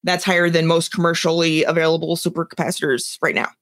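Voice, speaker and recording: A woman's voice: low voice, flat delivery, fast talker. A woman co-hosting a podcast, explaining a story in an informal, chatty way. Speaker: podcast co-host. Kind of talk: casual podcast chat, explaining a news story.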